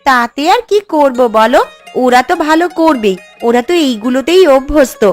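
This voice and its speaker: A woman's voice: high voice, expressive, moderate pace. A woman voicing a modest, earnest village sister-in-law in a cartoon. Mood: earnest, resigned. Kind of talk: Bengali village cartoon dialogue. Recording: music under the voice.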